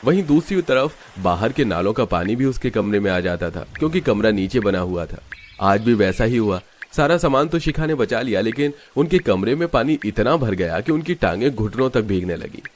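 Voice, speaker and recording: A man's voice: on the low side, expressive, moderate pace, a little hiss or hum. A man reading scripted narration for a story.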